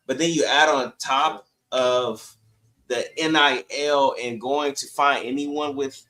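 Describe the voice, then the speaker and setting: A man's voice: medium pitch, fairly even intonation, slow pace, pushed and bright. Opinionated man talking sports casually. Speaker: sports podcast co-host.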